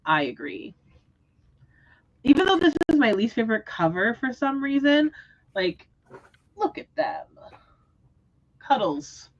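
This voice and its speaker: A woman hosting a casual book discussion livestream, talking in an animated, expressive way. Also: a little high, slow pace, long pauses, highs cut off, a little hiss or hum. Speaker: host of book readalong livestream.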